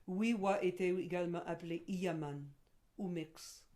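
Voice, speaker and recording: A middle-aged woman from Quebec, speaking slowly and carefully with pauses as a guest speaker. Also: fairly low pitch, steady tone, mellow. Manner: slow, measured, with frequent pauses and fillers. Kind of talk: webinar presentation; scripted narration in the video part.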